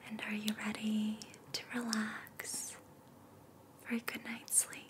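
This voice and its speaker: Woman, hushed ASMR whisper, very slow and unhurried, soothing relaxation guide, soft and breathy. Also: medium pitch, completely flat delivery. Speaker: ASMR host and reiki guide.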